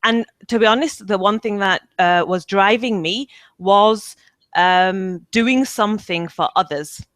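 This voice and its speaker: A woman's voice: medium pitch, a little slow, a bit bright, no room sound, clean studio sound. A woman from the UK, an English trainer, answering interview questions in a webinar with many ums and restarts. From United Kingdom.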